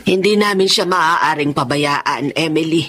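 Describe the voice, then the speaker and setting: A woman's voice: deep voice, unhurried, a bit bright, a little hiss or hum. A female actor playing a devoted, worried mother who pleads emotionally in a radio drama. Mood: tender worry. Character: anxious middle-aged mother, devoted and tender.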